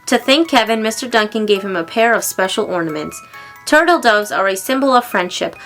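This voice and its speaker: A woman reads a children's storybook aloud in an expressive way, acting out the exclamations and dialogue. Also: mid-range voice, a little fast, faint music behind. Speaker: storybook reader.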